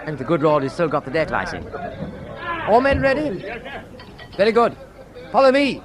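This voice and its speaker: A man playing a brisk, commanding British naval lieutenant in a radio drama, clipped and authoritative, with a British accent. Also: a little high, big pitch swings, crowd noise, noisy background.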